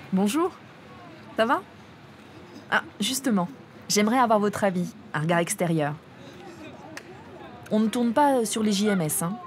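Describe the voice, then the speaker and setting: Calm female reporter. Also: mid-range voice, slight background noise. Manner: calm, clear, questioning. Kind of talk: documentary narration and street interviews. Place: outdoor public event.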